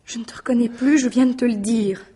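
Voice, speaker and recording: An actress in a French radio mystery drama, playing a suspect's anxious mistress with an intimate, pleading, reproachful manner. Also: female voice, fairly high pitch, fairly even intonation, brisk, dark tone, husky. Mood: reproachful, tense.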